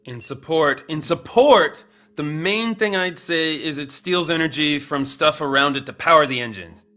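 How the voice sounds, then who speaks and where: Boyish male guard, bright forceful voice, excitable, casual, eager to please. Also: on the high side, normal speed, soft background music. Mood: thinking hard. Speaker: character in audio drama. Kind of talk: audio drama dialogue.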